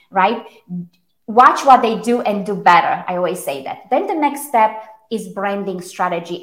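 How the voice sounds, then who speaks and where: Echoey Romanian woman explaining her work. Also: medium pitch. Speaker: interview guest, brand strategist. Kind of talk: interview answers explaining own work. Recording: echoey room.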